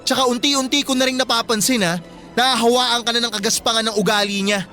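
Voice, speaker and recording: Very high-pitched, insistent young Filipino boyfriend, acted by a man. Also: fast talker, pushed and bright. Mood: disapproving. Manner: insistent, emotional. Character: disapproving young boyfriend, earnest and pleading. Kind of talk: radio-drama dialogue acting. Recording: music bed behind the voice.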